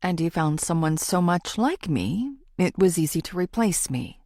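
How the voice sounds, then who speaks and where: A woman's voice: fairly low pitch, lively intonation, moderate pace, a little mellow, dry recording, clean studio sound. A woman voicing a suspicious, hurt woman who confronts her best friend with pointed demands in an audiobook.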